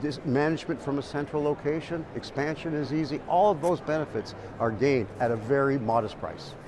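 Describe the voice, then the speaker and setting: A man's voice: mid-range voice, brisk. A man giving explanatory interview answers as an industry expert, often repeating words as he goes.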